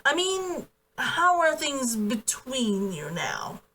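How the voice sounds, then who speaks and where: A woman's voice: high voice, big pitch swings, slow pace, sharp tone, a little hiss or hum, full character voice. A woman reading the lines of a guarded, protective man in a novel, with a restrained, questioning manner. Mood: curious. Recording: distant from the mic.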